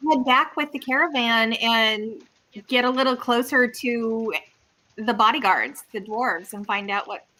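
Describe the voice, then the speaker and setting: A woman plays a tabletop game online and talks in a casual way. Her voice is a bit high and very bright. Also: measured pace, poor audio.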